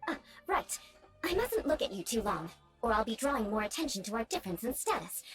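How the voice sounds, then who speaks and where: Haughty, high-voiced young noblewoman. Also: sing-song, brisk, fairly bright tone. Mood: imperious. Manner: formal, imperious, lecturing, ornate phrasing. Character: haughty young noblewoman, prim, vengeful, awkwardly grandiose. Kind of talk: video game voice acting. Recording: game music behind the voice.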